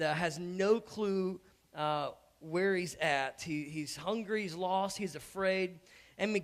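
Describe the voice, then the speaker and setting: Hoarse pastor preaching in stages, halting. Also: male voice, fairly high pitch, a bit bright. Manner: conversational, stumbling restarts, rising to emphatic. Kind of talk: sermon. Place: church stage before an audience.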